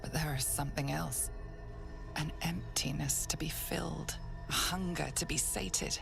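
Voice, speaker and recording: An actress playing a grave narrator of a dark fantasy game, with a measured, hushed, ominous delivery. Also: female voice, low voice, steady tone, creaky, over music, full character voice.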